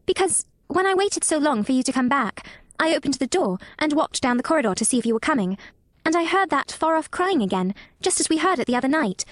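A woman's voice: sits high, very animated, quick pace, no room sound, voice pushed up. A woman voicing an earnest, eager and curious little girl in a storybook reading. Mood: curious, insistent.

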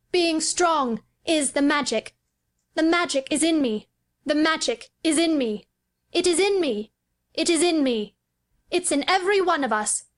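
A woman reading an audiobook, voicing an earnest, solemn young boy who speaks gravely and chants. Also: fairly bright tone. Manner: grave, high-pitched chanting.

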